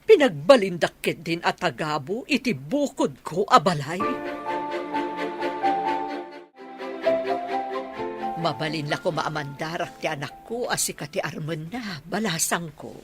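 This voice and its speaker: A woman's voice: low-pitched, lively intonation, normal speed, hardly any pauses, music in the background. A woman with a Filipino Ilocano accent playing a wronged, emotional older mother in a radio drama. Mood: bitter, outraged. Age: middle-aged.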